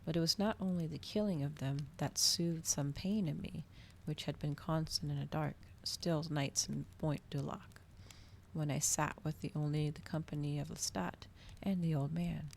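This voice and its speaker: A woman softly reading a story aloud in ASMR style, hushed and often slipping into a breathy whisper. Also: sits low, steady tone, normal speed, slight background noise. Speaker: streamer reading a novel aloud.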